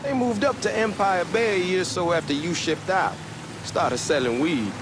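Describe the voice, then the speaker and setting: An actor playing a cocky, talkative young hustler with an American Southern accent in a video game, speaking in loose slang. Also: male voice, high voice, moderate pace, close to an everyday voice. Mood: eager, defensive. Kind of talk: acted game dialogue, casual banter. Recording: car engine and street noise behind. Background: moving car ambience.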